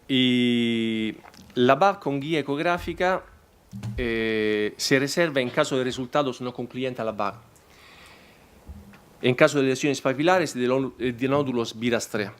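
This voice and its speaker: A man whose first language is not Spanish, presenting a technical talk at a conference in a halting way, full of fillers and restarts. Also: medium pitch, clear, resonant tone.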